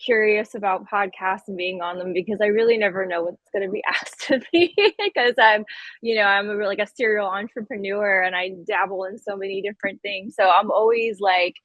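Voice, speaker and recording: A woman's voice: medium pitch, fairly even intonation, brisk, a bit bright, dry recording. A woman in her thirties from Connecticut chatting informally as a guest in a casual interview. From Connecticut, US East Coast. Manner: informal, conversational. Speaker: podcast guest, event planner.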